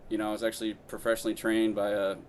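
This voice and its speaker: A man's voice: fairly low pitch, completely flat delivery, rapid-fire. Rambling man with faint background hiss. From Brazil. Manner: rambling, many fillers and restarts. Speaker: podcast guest, founder. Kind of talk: casual interview chat.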